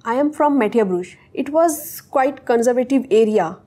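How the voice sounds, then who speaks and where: Woman, young adult, Urdu native, mellow voice, higher pitch, halting speech. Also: lively intonation. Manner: hesitant, searching for words. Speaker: interviewee, technical associate. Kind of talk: interview answers.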